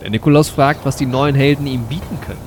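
A man's voice: mid-range voice, fast talker, slight background noise. A man narrating a half-scripted, improvised audio play as game master, slipping between storytelling and playful role-play. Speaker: narrator and game master, also playing an adventurer.

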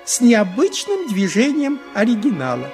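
An actor playing a pompous, lecherous high priest in a theatrical radio play, speaking in an oratorical, unctuous way. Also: male voice, huge pitch swings, normal speed, loud music behind the voice, heavily acted. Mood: unctuous, delighted. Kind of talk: 1980s Soviet radio play acting.

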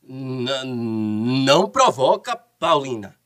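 An exasperated young husband in a radio soap. He stutters heavily and drags his words out slowly, with big swoops in pitch. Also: sing-song, a bit bright. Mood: hesitant. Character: stammering, exasperated grown son and husband.

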